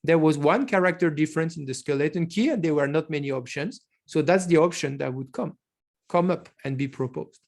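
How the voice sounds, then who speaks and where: A man's voice: a little high, a little fast, darkish tone. Man giving an online lecture. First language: French. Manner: explanatory, with frequent restarts.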